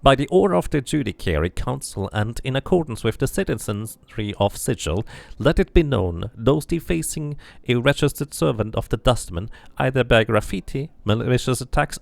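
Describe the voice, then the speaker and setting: A man's voice: mid-range voice, gravelly, no echo. A man voicing a formal, officious crier declaiming public notices from a fantasy game.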